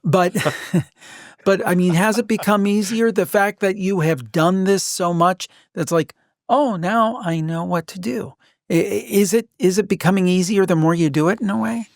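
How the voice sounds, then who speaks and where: A man's voice: high-pitched, normal speed, creaky, dry recording, clean studio sound. A man hosting a casual interview, rambling and correcting himself as he works toward his questions. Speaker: podcast host, interviewer.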